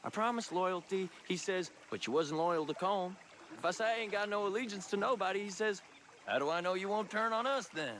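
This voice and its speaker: Nervous, high-voiced young country outlaw. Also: male voice, lively intonation, brisk, fairly bright tone, slight background noise. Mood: earnest, pleading. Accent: rural American. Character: anxious, timid young outlaw, former stablehand, pleading. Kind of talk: video game cutscene dialogue.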